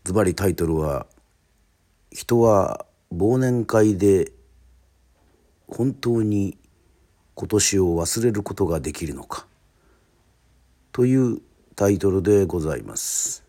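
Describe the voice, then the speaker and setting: A man with a deep, soft-edged voice hosts a radio drama. He speaks slowly and formally, like an announcer setting up a show. He sets each phrase apart with a deliberate pause. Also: lively intonation. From Yoron Island, Japan. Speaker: radio host and narrator.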